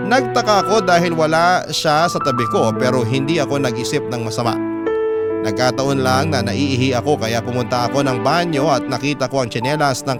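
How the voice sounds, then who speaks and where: A Filipino radio host reads a first-person story. The man's voice is mid-pitched and delivered as scripted narration, with prominent music running underneath. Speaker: radio host reading a listener's letter. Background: prominent background music.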